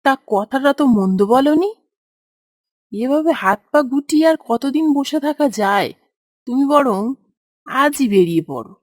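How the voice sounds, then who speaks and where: A supportive, determined village wife from a cartoon fairy tale. Her voice is on the high side, measured and encouraging, recorded dry and close. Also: lively intonation, dry recording. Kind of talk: children's cartoon voice acting.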